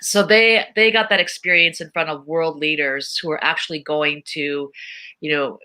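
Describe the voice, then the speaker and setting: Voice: mid-range voice, fairly even intonation, moderate pace, bright, forceful tone, clear, resonant tone. A panel speaker explaining projects in an explanatory style. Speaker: panel speaker from a tech company funding VR projects.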